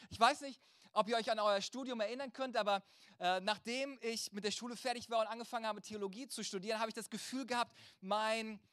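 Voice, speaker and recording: Male pastor, high-pitched voice, dry close sound, conversational sermon delivery with rhetorical direct address. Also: fairly bright tone, dry recording.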